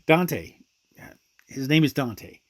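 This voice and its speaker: A man's voice: medium pitch, big pitch swings, slow. An actor playing a weary, troubled man in an audio drama, talking in a conversational, muttering way. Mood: weary, chatty. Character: weary, troubled male searcher who lives with snake. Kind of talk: radio drama acting.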